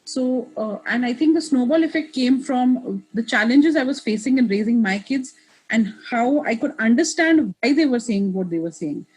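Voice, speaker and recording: A woman's voice: a little high, animated, normal speed, darkish tone, husky, rough recording. A woman in her thirties, a guest in an interview, talking in a reflective way with many restarts and asides. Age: 30s. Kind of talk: casual interview conversation.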